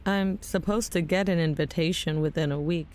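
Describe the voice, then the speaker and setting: A woman's voice: on the low side, fairly even intonation, moderate pace, darkish tone, natural voice. A woman playing a shaken, disbelieving character, anxious and questioning, in an audio drama. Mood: shaken, afraid. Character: shaken, disbelieving woman fearing for loved ones.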